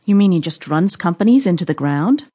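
An actress playing an earnest, inquisitive amateur sleuth in an audio drama, matter-of-fact and questioning, with a husky voice. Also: female voice, fairly low pitch, lively intonation, brisk, mellow. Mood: curious.

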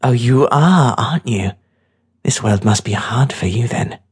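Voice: mid-range voice, lively intonation, unhurried, husky. A narrator voicing a tough, scornful, streetwise teenage girl with a brash, mocking, bossy way of talking.

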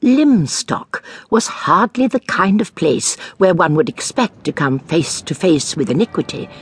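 An actress playing a shrewd elderly English amateur sleuth in an audio drama, measured, precise and gently probing. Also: female voice, on the low side, big pitch swings, breathy edge, dry recording, full character voice. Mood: wry, measured. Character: shrewd elderly amateur sleuth Jane, calmly inquisitive narrator. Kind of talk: dramatised audiobook acting and narration.

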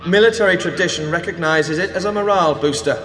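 An actor playing a confident, intellectual military officer in a sci-fi audio drama, proud and a little smug. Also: male voice, on the high side, quick pace, a bit bright, natural voice. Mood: smug debating. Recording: echoey hall, faint music behind.

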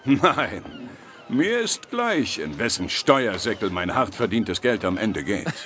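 A bright, brash, swaggering drinker. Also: male voice, lowish voice, sing-song, takes it slowly, exaggerated voice. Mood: wary, blunt. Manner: boastful, swaggering, blunt. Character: Störtebeker, brash hard-drinking medieval sailor, big, bold, boisterous. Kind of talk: German audio drama acting. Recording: noisy tavern ambience behind.

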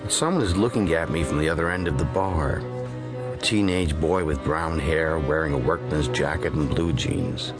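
An actor playing a wary, calculating gentleman who narrates his private thoughts in a measured radio drama style. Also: rumbling low, over loud music. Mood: wary, reflective. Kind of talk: first-person radio drama narration and dialogue.

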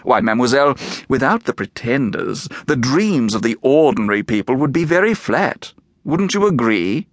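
A man reading an audiobook, voicing a scheming gentleman in a fez who talks in an oily, flattering way. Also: mid-range voice, no echo. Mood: ingratiating. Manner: oily, flattering, slow and deliberate.

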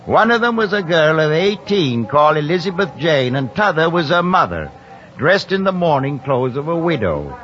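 A man's voice: fairly high pitch, sing-song, normal speed, a bit bright. A man narrating a radio drama in a formal, theatrical 1940s storytelling style. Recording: dull vintage radio broadcast with music.

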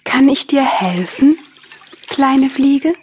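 A woman's voice: high voice, expressive, slow, a little mellow, a little hiss or hum. A woman voicing a kind, helpful little female dragonfly in a children's audiobook, speaking carefully and reassuringly. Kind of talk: children's audiobook character acting.

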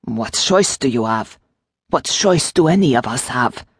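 A small, wry gargoyle demon played by a woman narrator speaks in a lilting French accent. The voice is low and husky, dry and close, with courtly, fretful phrasing fit for a fantasy audiobook. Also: animated, a little slow, no room sound, exaggerated voice. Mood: resigned. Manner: formal, courtly phrasing with endearments.

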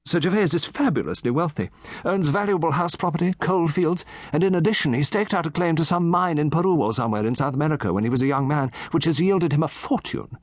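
A man voicing a gossipy, genteel elderly English gentleman, raised in pitch, chatty and lightly amused. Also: quick pace, dry recording, lightly acted. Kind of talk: audiobook character voice.

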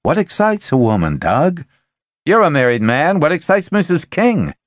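A man doing a loud, pushy sales boss. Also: a little high, very animated, normal speed, no room sound, voice pushed up. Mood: ranting. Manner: forceful, ranting, voice rising to shouts.

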